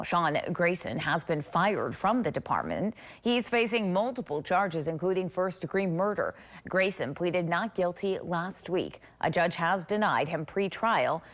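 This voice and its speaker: Low-pitched woman anchoring the news. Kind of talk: scripted broadcast news reading. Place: TV news studio.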